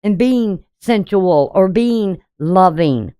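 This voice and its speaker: A woman with a deep voice giving a casual daily astrology reading, talking bluntly and conversationally with frequent "you know". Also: lively intonation, slow, warm tone, clear, resonant tone, no room sound, studio quality. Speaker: astrology channel host.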